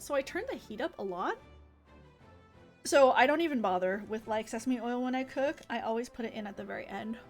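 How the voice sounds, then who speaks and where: A woman livestreaming a game, chatting in an informal, rambling way. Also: on the high side. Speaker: live streamer playing an online game. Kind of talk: casual livestream chat and reading game dialogue aloud. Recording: music behind the voice. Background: game music.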